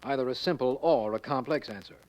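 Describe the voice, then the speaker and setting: An actor playing a businesslike, matter-of-fact district attorney in formal 1940s radio drama style. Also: male voice, medium pitch, fairly quick, natural voice. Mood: earnest. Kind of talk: 1940s radio drama acting and case narration.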